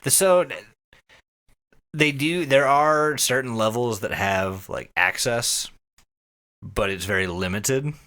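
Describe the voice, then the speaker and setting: A man co-hosting a podcast in casual comic banter, jokey and quick to swear. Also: medium pitch, pushed and bright. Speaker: podcast co-host.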